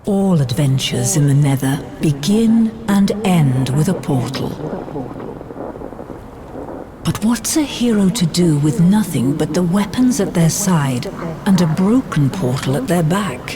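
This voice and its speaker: A woman playing a grave, dramatic storyteller, narrating fantasy game cutscenes in a measured, portentous way. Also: sits low, animated, noisy background. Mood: rousing, urgent. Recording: roomy, long reverb, music bed. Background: clear background music.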